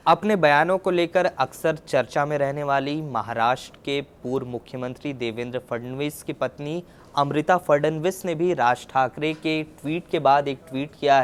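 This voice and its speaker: A man's voice: on the high side, normal speed, clear, resonant tone. A man presenting a news report, explaining as he goes and often restating his points.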